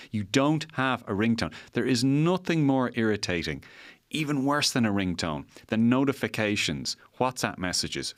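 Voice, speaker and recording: A man's voice: mid-range voice, no room sound, clean studio sound. A middle-aged Irish man hosting a podcast, arguing his opinions emphatically with an exasperated edge and repeating points for effect. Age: middle-aged, forties or fifties. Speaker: podcast host.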